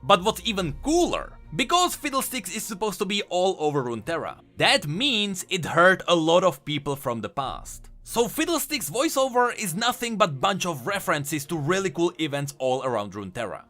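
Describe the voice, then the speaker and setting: Very animated, high-voiced man explaining. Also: moderate pace, hardly any pauses. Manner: emphatic, explanatory. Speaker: host of a video game lore channel. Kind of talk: enthusiastic scripted commentary. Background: music.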